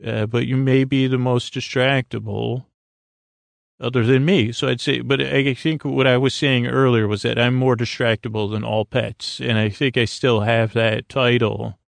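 A man with a creaky, medium-pitched voice hosts a bedtime podcast. He wanders whimsically from thought to thought, hesitating and dropping sentences halfway. The sound is very dry, with no room around it. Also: little pitch movement, no echo. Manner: meandering, hesitant, halting, trailing off mid-sentence. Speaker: host of a sleep podcast. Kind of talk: rambling whimsical bedtime monologue.

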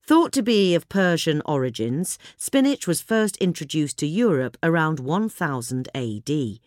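A woman reading a gardening magazine article aloud in a measured, clear way. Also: low-pitched, big pitch swings, no echo, studio quality. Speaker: reader. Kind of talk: scripted narration of a gardening article.

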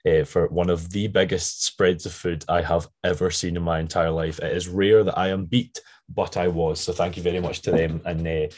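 Male podcast host, Scottish, notably low and a bit croaky, informal and prone to tangents. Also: level tone, normal speed, a little mellow. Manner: chatty, rambling with frequent tangents. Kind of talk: casual podcast introduction.

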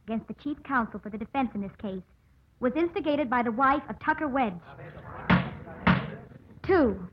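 A woman's voice: on the high side, husky. An actress playing an earnest, composed woman lawyer in a vintage radio drama, speaking formally and emphatically. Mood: firm, formal. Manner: formal, emphatic courtroom oratory. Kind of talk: 1940s-50s radio drama acting. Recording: muffled old radio broadcast.

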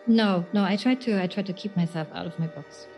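A woman's voice: mid-range voice, a little fast, a little mellow, faint music behind. A woman recalling things in conversation, hesitant and searching for her words. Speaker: guest, writer. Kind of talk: halting conversational recollection.

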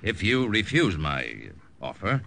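An actor playing a grave, authoritative officer type in a 1950s radio drama. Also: male voice, on the low side, very animated, slow pace, gravelly, heavily acted. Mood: measured, confidential. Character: grave, authoritative army captain and dramatic announcer. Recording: bright old radio recording, faint music.

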